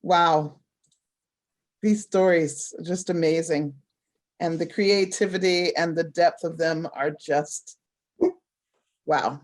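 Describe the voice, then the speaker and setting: A woman's voice: deep voice, fairly even intonation, takes it slowly, long pauses. A woman hosting a storytelling event in a casual, effusive and appreciative way. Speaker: host of a storytelling showcase.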